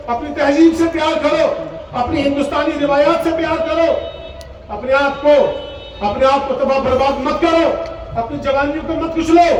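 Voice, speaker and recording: High-pitched male cleric orating, heavy echo. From Poonch, Jammu and Kashmir, India. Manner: exhortatory, emphatic, with rhetorical repetition. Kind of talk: impassioned Urdu public oration at a religious gathering. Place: large gathering, speaking from a stage. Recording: echoey public address, distant, faint noise.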